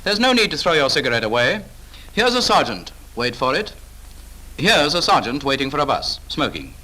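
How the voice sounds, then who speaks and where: A man's voice: on the high side, very animated, normal speed, bright, forceful tone, poor audio. A British man narrating a 1940s instructional film in a clipped, authoritative, instructive manner, on an old film soundtrack.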